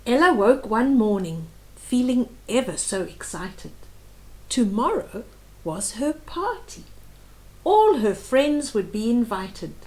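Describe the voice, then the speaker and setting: A woman reading a children's story aloud with animated, dramatic emphasis and explanatory asides. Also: on the high side, slow, poor audio.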